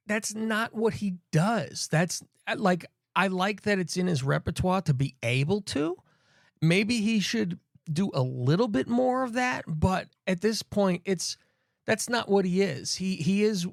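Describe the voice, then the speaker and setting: A man's voice: on the high side, gravelly, dry recording, studio quality. A man co-hosting a podcast, chatting casually about wrestling in a rambling way with lots of swearing and fillers. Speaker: podcast co-host.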